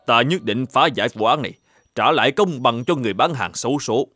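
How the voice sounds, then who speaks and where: An authoritative, animated provincial governor. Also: male voice, medium pitch, no echo, clean studio sound, faint music behind. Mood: resolute. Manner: authoritative, measured, formal. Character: upright, determined provincial governor and magistrate. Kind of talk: animated folk tale dubbing, formal.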